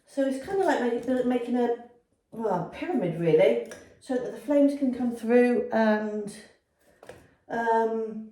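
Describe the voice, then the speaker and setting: A woman's voice: a little high, lively intonation, takes it slowly, warm tone, echoey room. A woman with a cold and a nasal, blocked-up voice, chatting and rambling with lots of ums as she gives a home how-to vlog. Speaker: vlogger. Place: old house, by a fireplace indoors.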